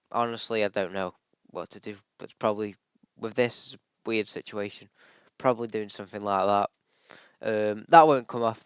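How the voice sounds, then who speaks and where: A man's voice: medium pitch, fairly bright tone, clean tone, no room sound. A man with a bad cold and a slightly off voice, chatting casually through weather charts with plenty of ums. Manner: chatty, lots of ums and likes, thinks aloud. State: has a bad cold, voice a little off. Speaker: weather forecaster. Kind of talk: casual forecast vlog over screen-shared charts.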